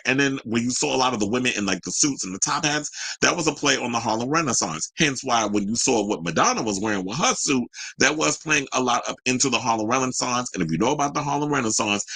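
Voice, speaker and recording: A man's voice: medium pitch, gravelly, sharp tone, no echo. A man hosting a talk show, giving casual, opinionated entertainment news commentary with slangy asides as he reads.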